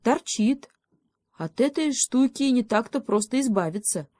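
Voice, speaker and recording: Lady doing a sly hedgehog, high pitched, mock serious, takes his time, teasing, kids story. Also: expressive, mellow, no room sound. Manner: deliberate, mock-grave, teasing.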